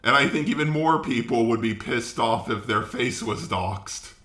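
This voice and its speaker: A man's voice: medium pitch, normal speed, sharp tone. A man giving casual opinion commentary in a blunt, informal way. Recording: echoey room.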